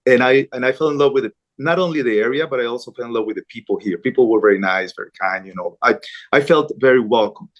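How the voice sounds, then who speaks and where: A man's voice: mid-range voice, quick pace, highs cut off. A middle-aged man from Colombia, a news anchor, talking earnestly in an interview, with frequent restarts and fillers. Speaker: interview guest, Spanish-language news anchor. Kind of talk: conversational interview.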